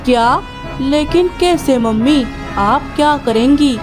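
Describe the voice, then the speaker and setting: A woman's voice: high voice, slow, over music. A woman voicing a lazy, sulky young son bird in a children's cartoon, in a whiny, childish way.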